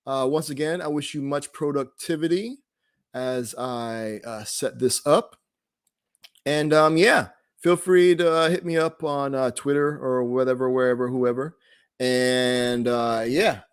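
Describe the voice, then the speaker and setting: A man casually hosting a live online group, talking off the cuff in a rambling, laid-back way and often trailing off mid-thought. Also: medium pitch. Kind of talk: casual, off-the-cuff livestream talk.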